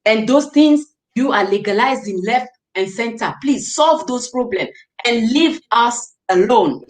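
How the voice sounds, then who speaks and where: A woman's voice: fairly high pitch, expressive, measured pace. Emphatic woman hosting a live call-in. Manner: emphatic, agreeing, pleading tone. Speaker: talk show host.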